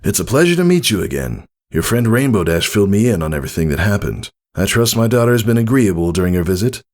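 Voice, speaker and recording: A kindly, easygoing storybook father pony, read by a man. He speaks composedly and encouragingly, with very lively rises and falls in his voice. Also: fairly low pitch, fast, voice pushed up. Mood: polite.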